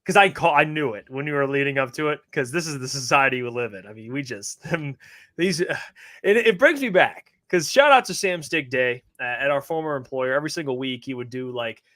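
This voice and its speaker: A man's voice: mid-range voice, animated, brisk, fairly bright tone, no echo, studio quality. A man co-hosting a sports podcast, joking loosely and swearing in casual banter. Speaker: podcast co-host.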